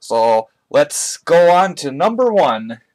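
A man giving a casual, conversational product review as a hobbyist, speaking haltingly off camera into a webcam at home. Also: fairly high pitch, sing-song, a little mellow, rough recording. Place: indoors, small room at home. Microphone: webcam on a tripod, speaker off camera.

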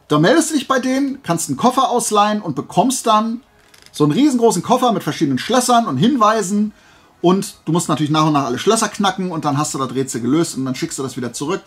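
A man hosting a casual YouTube haul vlog, talking informally in a rambling way as he thinks aloud. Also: a little high. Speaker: YouTube host.

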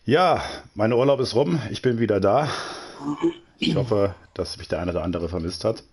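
A man's voice: lowish voice, lively intonation, moderate pace, darkish tone, slightly rough. A man commentating a live sim racing broadcast, chatty and joking as he reads out standings and greets viewers. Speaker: race commentator.

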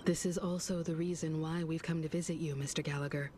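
A woman acting a courteous, composed, mature expedition leader in a video game, speaking politely and with measured poise. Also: deep voice, fairly even intonation, lightly acted. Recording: loud game music underneath.

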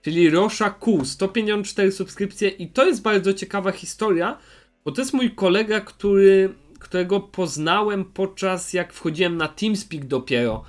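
Young man, high-pitched voice, casual unscripted commentary, hesitant, rambling, repeats himself. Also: moderate pace. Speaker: YouTuber presenting recommended channels.

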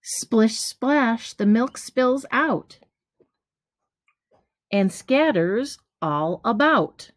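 A middle-aged woman reading a children's picture book aloud, deliberately, pausing between phrases. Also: on the high side, lively intonation, no room sound. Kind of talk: children's storybook read-aloud. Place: small room at home, indoors. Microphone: webcam or device at arm's length.

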